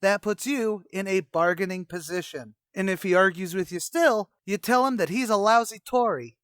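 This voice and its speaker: An actor playing a wisecracking, scheming and self-important tavern manager in an old-time radio comedy, talking in folksy slang. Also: male voice, sits high, moderate pace, a bit bright, clean tone, no room sound, studio quality. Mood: bossy, mock-pompous.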